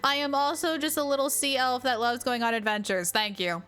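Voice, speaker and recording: Female game streamer, high voice, playful off-the-cuff reactions, faint music behind. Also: steady tone, brisk, a bit bright, smooth. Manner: playful, repeats phrases for emphasis. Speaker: livestreamer. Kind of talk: casual game reaction commentary.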